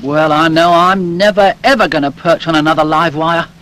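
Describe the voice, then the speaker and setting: A British actor voicing a small, naive, chatty cartoon bird who eagerly asks questions in a public information film. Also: male voice, a little high, steady tone, normal speed, a bit bright, close to an everyday voice, poor audio. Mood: rueful. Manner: inquisitive, chirpy, interrupting with questions.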